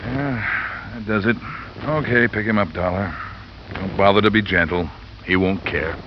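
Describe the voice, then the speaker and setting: An actor playing a terse, steady, hard-nosed police sergeant in a 1950s radio crime drama. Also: male voice, fairly low pitch, unhurried, lightly acted. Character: tough, unhurried police sergeant pressing a suspect. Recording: dull vintage radio, loud noise.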